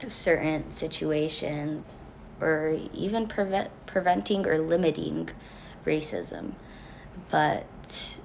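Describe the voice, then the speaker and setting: A young college student talks through her class project at an unhurried pace. Her voice sits low and is rough with strong vocal fry. She sounds earnest and pauses often between her thoughts. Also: a little mellow, slight background noise, poor audio. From Mexican family background. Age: young adult. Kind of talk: reading a class project reflection.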